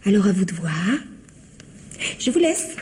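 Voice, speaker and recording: A woman's voice: mid-range voice, very animated, normal speed, mellow, slight background noise, close to an everyday voice. An actress playing a vengeful widow who taunts her in-laws with composed, mocking politeness in a French radio mystery. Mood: taunting, triumphant.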